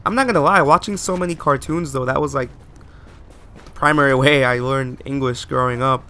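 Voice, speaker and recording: A man's voice: medium pitch, fairly quick, bright, forceful tone. A man giving post-recorded commentary over gameplay, chatty and rambling, often asking viewers questions. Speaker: let's play host.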